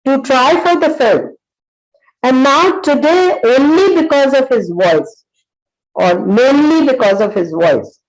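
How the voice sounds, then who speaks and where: A woman's voice: high-pitched, darkish tone, low-quality recording. Earnest Indian woman in her sixties. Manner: earnest, storytelling, frequent pauses and uh fillers. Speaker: psychologist and motivational speaker. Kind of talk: motivational talk.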